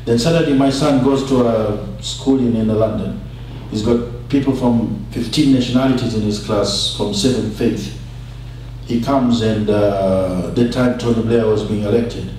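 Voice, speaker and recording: A man's voice: on the low side, fairly even intonation, measured pace, warm tone. A man speaking informally on a panel, telling stories and posing rhetorical questions. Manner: rhetorical questions, conversational storytelling. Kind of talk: informal panel talk with anecdotes. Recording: echoey hall, distant mic, faint hum.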